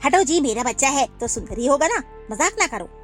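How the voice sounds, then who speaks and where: A woman's voice: high-pitched, big pitch swings, fairly quick, fairly bright tone, soft background music. A woman voicing a proud, bossy mother cuckoo in a cartoon story, with a boastful, sing-song delivery.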